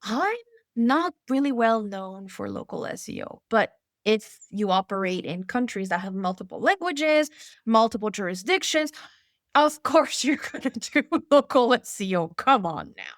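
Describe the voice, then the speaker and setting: A woman's voice: on the high side, unhurried, no echo, clean studio sound. A woman from Hawaii, an expert guest in an interview, telling her points emphatically and with animation. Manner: animated, emphatic storyteller who laughs between points. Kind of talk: conversational interview.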